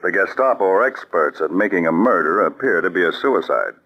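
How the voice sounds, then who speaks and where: David Harding, low-voiced counterspy chief. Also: male voice. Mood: calm command. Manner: calm, authoritative, deliberate. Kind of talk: 1940s radio acting. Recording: dull lo-fi old radio recording.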